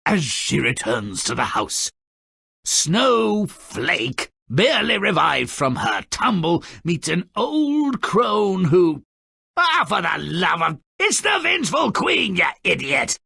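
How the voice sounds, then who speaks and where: A man voicing a sardonic, grotesque little dwarf storyteller in a video game, gleefully malicious and melodramatic, with a raspy, gravelly voice and a sneering, mocking delivery. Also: high-pitched, huge pitch swings, takes it slowly, bright, forceful tone, no echo, heavily acted. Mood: scheming, exasperated. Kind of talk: theatrical video game character narration.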